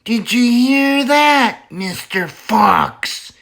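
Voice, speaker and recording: A man reading aloud and voicing a cruel, scheming male farmer who taunts in high-pitched shouts. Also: lively intonation, extremely slow, creaky, exaggerated voice. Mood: menacing.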